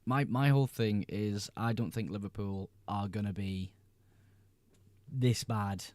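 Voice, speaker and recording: Laid-back young Englishman, low mellow voice. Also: measured pace, dry recording. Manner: laid-back, reads chat messages aloud then answers. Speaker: livestream host. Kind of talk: casual livestream chat answering viewer comments.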